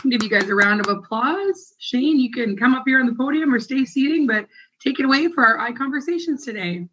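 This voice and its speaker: A woman's voice: high voice, animated, brisk, pushed and bright, a little hiss or hum. A woman hosting an event, introducing panelists in an upbeat, announcing style. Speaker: event host. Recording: dull lo-fi sound.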